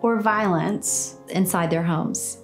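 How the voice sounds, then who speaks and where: A woman's voice: fairly low pitch, slow pace. Middle-aged woman in a scripted promo. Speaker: charity spokesperson. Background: music.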